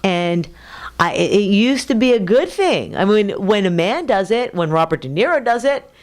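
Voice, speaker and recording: A woman's voice: mid-range voice, expressive, moderate pace. A woman from Queens co-hosting a casual podcast, a nutrition coach who talks bluntly and directly. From Queens, New York. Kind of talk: casual chat.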